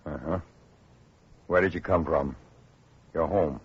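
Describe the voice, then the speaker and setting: A procedural official in a vintage radio drama, registering refugees. The voice is low and husky, with a measured, businesslike delivery. It comes through on a muffled old broadcast. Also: male voice, full character voice. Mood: brisk, official. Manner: measured, procedural, matter-of-fact. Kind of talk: 1940s radio drama acting.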